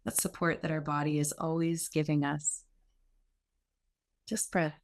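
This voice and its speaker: A woman teaching guided meditation in an unhurried, reassuring, gently instructive way with pauses. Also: deep voice, flat delivery.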